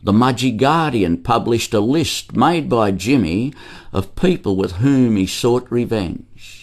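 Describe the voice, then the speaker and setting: A man's voice: fairly low pitch, a little mellow. A man with an Australian accent reading a scripted documentary narration.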